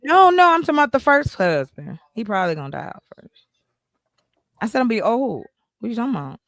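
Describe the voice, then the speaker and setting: A woman's voice: fairly high pitch, sing-song, brisk, a bit bright, no room sound, studio quality. Blunt, bold woman in her forties. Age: 40s. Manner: blunt, bold and playful, teasing the men. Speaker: host. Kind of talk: casual group chat on a livestream.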